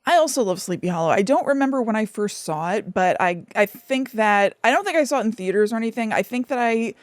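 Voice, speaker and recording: A woman's voice: a little high, expressive, fast talker, no room sound. A woman with an American accent co-hosting a podcast, chatting casually and agreeably about film in an upbeat way. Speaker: podcast co-host.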